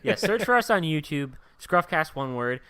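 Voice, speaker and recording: Bright smooth-voiced young podcast host. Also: male voice, fairly high pitch, big pitch swings, moderate pace. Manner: upbeat, joking, plugs the show. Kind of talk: casual podcast chat.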